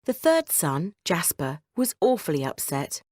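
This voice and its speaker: A woman's voice: lowish voice, big pitch swings, unhurried, a little mellow, no room sound, studio quality. A woman narrating a scripted bedtime story for children as the storyteller.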